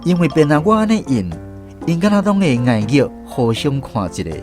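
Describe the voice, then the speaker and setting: A man's voice: on the high side, sing-song, moderate pace. A man with a Taiwanese accent playing a reflective film director who narrates his memories in a radio drama. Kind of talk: scripted first-person radio drama narration. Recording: music bed under the voice. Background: instrumental music.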